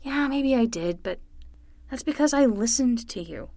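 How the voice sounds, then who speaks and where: Young female nanny, highish voice, casual, gently frank, wry, dry close recording, audio drama. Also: animated, moderate pace, no echo. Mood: patient, frank. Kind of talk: naturalistic fiction podcast acting.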